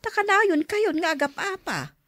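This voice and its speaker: A woman's voice: very high-pitched, sing-song, moderate pace, fairly bright tone, dry recording, heavily acted. Woman acting a concerned mother, dry close voice. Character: concerned mother counselling a quarrelling married couple. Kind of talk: Ilocano radio drama acting.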